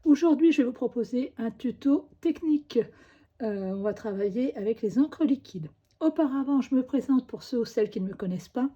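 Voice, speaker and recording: A woman with a cold talks through a crafting tutorial, slipping in little fillers as she works. Her voice is husky and slightly high. The recording is dull and lo-fi. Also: animated, brisk, mellow. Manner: chatty, fillers like "hop" and "euh" while working. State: has a cold, coughing. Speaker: crafting demonstrator.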